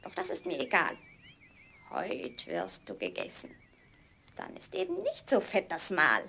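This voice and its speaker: A woman's voice: medium pitch, huge pitch swings, measured pace, vocal fry, sharp tone, slight background noise, heavily acted. A woman playing a wicked, bossy old witch who scolds and schemes in rhyme in a children's fairy tale audio drama. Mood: gloating, commanding.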